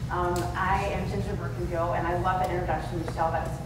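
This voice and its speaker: Brief: woman, 40s, lively conference speaker, heard from the back of a boomy hall. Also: fast. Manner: conversational, animated storytelling with laughs. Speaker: marketing speaker. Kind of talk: live conference talk. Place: large banquet hall with audience. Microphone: handheld mic heard from far in the room. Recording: echoey hall, distant camera, room noise.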